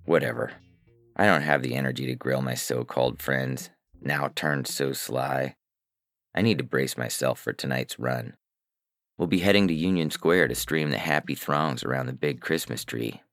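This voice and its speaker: A man playing a weary, paranoid hacker who narrates a science fiction audiobook in a terse, deadpan, sardonic manner. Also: a bass voice, normal speed, creaky, no echo, studio quality, full character voice.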